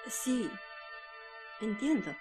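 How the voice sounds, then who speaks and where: An actress playing a gentle, anxious, dreamy wife in a radio drama. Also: female voice, fairly high pitch, very animated, drawn-out words, soft background music, full character voice. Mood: uneasy.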